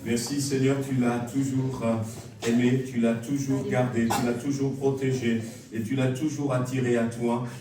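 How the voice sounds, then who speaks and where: A male pastor praying over and baptizing a believer in a church, measured with pauses. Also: mid-range voice, noisy background. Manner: flat, measured, pausing with fillers. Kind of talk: prayer and baptism rite. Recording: echoey church hall from far off, congregation around.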